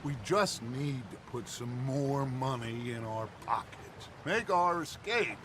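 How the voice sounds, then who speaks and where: An actor playing a middle-aged American outlaw gang leader in a video game, grandiloquent and authoritative. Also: male voice, mid-range voice, slow pace, natural voice. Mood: urgent, persuasive. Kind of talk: video game drama acting. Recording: game audio with loud ambient noise.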